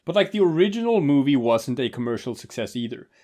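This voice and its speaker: A man's voice: mid-range voice, very animated, fast, warm tone. A man co-hosting a casual movie commentary, rambling with lots of fillers and restarts. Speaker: podcast co-host.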